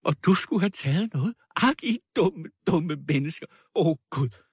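Guy doing a desperate sick widow from an audiobook, sobbing, husky, pitched way up. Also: expressive, no echo, studio quality. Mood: anguished, protective. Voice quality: hoarse, plaintive. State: crying. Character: frantic, anguished sick widow, shrieking in defence of a girl.

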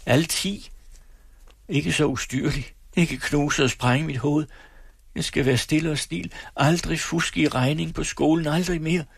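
A panicked woman patient begs and whispers hoarsely, her voice pitched high and strained with fear, as a male narrator with a gravelly, husky tone puts her on. The delivery is dry and close. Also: steady tone, dry recording. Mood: feverish murmuring. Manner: hoarse whispering, desperate pleading.

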